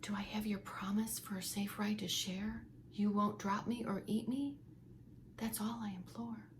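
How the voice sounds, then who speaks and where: A woman's voice: mid-range voice, steady tone, a little slow, slight background noise, close to an everyday voice. A woman voicing a spirited, boastful gingerbread girl in a children's storybook, chanting rhymes in a sing-song way. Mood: timid. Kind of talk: children's storybook read-aloud.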